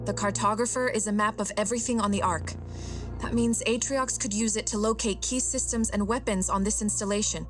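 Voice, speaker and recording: An actress playing an emotive, worried shipboard artificial intelligence in a video game, earnest and explanatory. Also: female voice, medium pitch, fairly even intonation, a little fast, lightly acted. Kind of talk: video game cutscene acting. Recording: loud music and vehicle sounds behind.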